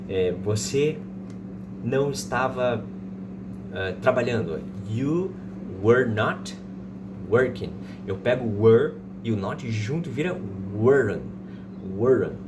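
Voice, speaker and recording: Man in his thirties, Brazilian accent, informal language teacher, medium pitch, loud background noise. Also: far from the mic. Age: 30s. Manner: didactic, repeats examples, checks in with listeners. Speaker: English teacher. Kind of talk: informal explanatory language lesson with slow example sentences.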